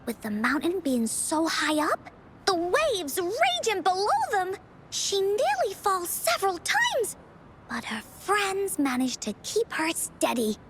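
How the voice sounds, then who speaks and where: A very high-pitched, lively little girl from a video game. She tells adventure tales with encouragement and theatrical pauses, her intonation rising and falling. There is a faint rumbling noise behind her. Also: exaggerated voice. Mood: storytelling, spirited. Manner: theatrical, deliberate storytelling with dramatic pauses. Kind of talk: video game voice acting, dramatic storytelling.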